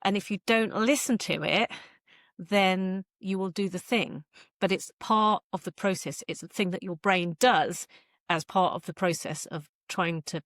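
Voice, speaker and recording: A woman's voice: mid-range voice, unhurried, fairly bright tone, no echo, studio quality. A woman giving a casual interview as a guest author, talking in a hesitant, self-interrupting way.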